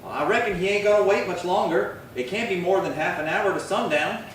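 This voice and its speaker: Man playing a folksy cowboy sidekick, highish voice. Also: expressive, a little fast, fairly bright tone. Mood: earnest. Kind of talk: old-time western radio acting. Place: live theatre stage performance. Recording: very roomy, distant stage pickup, faint noise.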